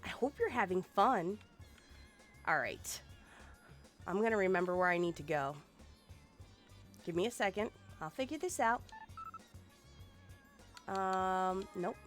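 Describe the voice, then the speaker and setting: A woman's voice: medium pitch, expressive, a little slow, pauses often, soft background music. A woman streaming a game and chatting casually with her viewers, sounding nasal and sniffly from congestion. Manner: bubbly, playful, chatty with viewers.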